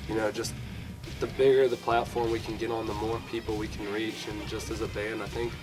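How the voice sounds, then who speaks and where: A twenty-year-old man, a band's drummer, speaking earnestly and haltingly about his aims. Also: lowish voice, little pitch movement, over music. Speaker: band drummer. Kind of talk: casual interview.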